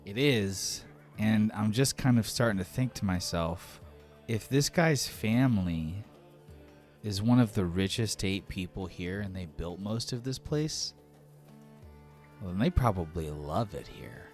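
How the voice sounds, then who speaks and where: A man's voice: fairly low pitch, animated, measured pace, faint music behind. A man playing a weary, plain-spoken village friend in a tabletop fantasy game. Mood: uneasy, weary.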